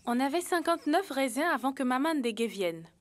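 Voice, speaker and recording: Responsible young cartoon friend, high-pitched, kindly, instructive. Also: female voice, expressive, normal speed. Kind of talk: children's educational cartoon dubbing.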